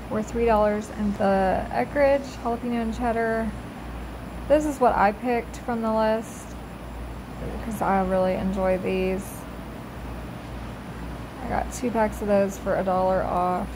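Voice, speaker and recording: A woman's voice: on the high side, background noise. A middle-aged woman, chatting through a casual voice-over about her weekly shopping. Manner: chatty, slow, bargain-focused, says y'all. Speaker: frugal living vlogger.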